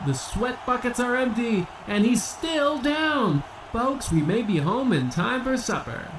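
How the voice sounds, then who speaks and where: A man's voice: very high voice, lively intonation, measured pace, noisy background. A man playing a grandiose boxing ring announcer obsessed with ancient Rome, in an old-time radio comedy style. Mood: astonished, dramatic. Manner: grandiose, theatrical showman delivery.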